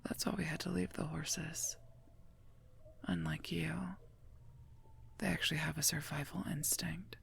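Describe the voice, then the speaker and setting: A woman voicing a sardonic female werewolf knight and mercenary bodyguard in an ASMR roleplay, husky and gravelly, dry and curt. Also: low-pitched, little pitch movement, a little slow, pauses often, a bit bright, a little hiss or hum, exaggerated voice. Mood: irritated, sarcastic. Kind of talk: scripted ASMR roleplay, addressed to listener.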